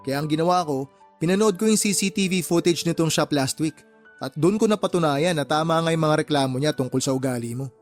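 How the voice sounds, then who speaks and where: Radio drama role: man playing stern middle-aged Filipino shop boss, fair but exasperated, lecturing in a high male register. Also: a little fast, faint music behind, lightly acted. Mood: stern, scolding. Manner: firm, lecturing. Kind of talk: Tagalog radio drama acting.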